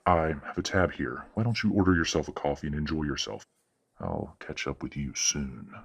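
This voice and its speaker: A man playing a condescending, manipulative werewolf father in an audio roleplay, speaking in a measured, patronizing and coldly genteel way. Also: animated, full character voice. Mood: quietly persuasive. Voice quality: deep, husky. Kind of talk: audio roleplay drama acting.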